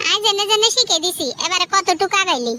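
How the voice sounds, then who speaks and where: A sly, meddling sister-in-law from a comedy cartoon. She gossips and goads at a hurried pace in a piercingly high, bright voice with a Noakhali Bangladeshi accent. The track has clear noise and clicks. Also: lively intonation, full character voice. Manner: gossipy, goading.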